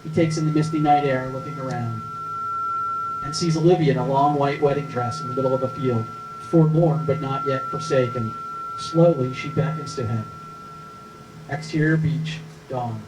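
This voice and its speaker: A man's voice: mid-range voice, steady tone, normal speed. A man narrating stage directions and scene headings at a screenplay table read. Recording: echoey room with clear background noise.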